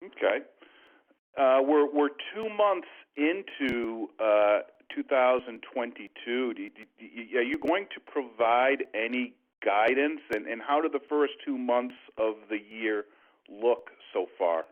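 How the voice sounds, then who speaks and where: A man from New England calling in as a private investor, asking questions haltingly on an earnings call. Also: medium pitch, expressive. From New England, United States. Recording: tinny muffled phone line.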